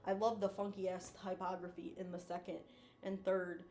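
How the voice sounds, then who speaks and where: A woman's voice: on the low side, level tone, moderate pace, warm tone, roomy sound. A woman giving casual, slangy and effusive video commentary, like an online host chatting to viewers.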